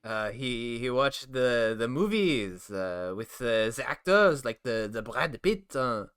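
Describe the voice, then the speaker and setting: A man's voice: mid-range voice, sing-song, slow, a bit bright, clean tone. A man co-hosting a casual chat with friends over a remote call, speaking in a playful, teasing way through a cheap mic. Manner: teasing, playful, says bro and dude a lot. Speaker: podcast co-host. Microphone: cheap mic on a remote call that cuts out.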